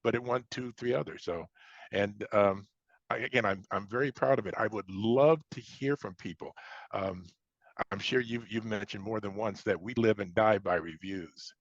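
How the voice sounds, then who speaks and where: An American man with a low voice talks in a casual interview. He circles back and repeats his phrases conversationally. The recording is dry and a little dull. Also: normal speed, no echo. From Chicago, United States. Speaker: science fiction author, interview guest.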